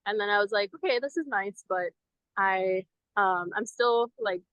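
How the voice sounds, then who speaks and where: A young woman from Venezuela talks casually as an interview guest, her voice bright and clear. She rambles in a friendly way and says um and like often. The sound is dry and close. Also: medium pitch, dry recording, highs cut off. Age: 20s. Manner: chatty, friendly, many ums and likes. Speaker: guest, ministry program member. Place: outdoors in front of a cathedral.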